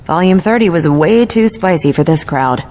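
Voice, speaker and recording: A woman's voice: on the low side, expressive, moderate pace, a little mellow. An actress playing a confident military superhero woman in a video game, explaining things in an assured, lightly teasing way. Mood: resolute, teasing. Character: confident adult superhero woman, sharp patient military mentor.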